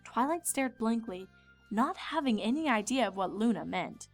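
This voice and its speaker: A woman narrates a piece of fan fiction in storybook fashion. Her voice is on the high side. Faint music plays behind the reading. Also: lively intonation, moderate pace.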